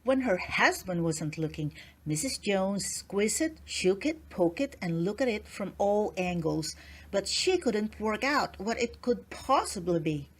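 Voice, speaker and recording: A woman's voice: medium pitch, big pitch swings, unhurried, poor audio. A woman narrating a children's storybook in a scripted read-aloud style.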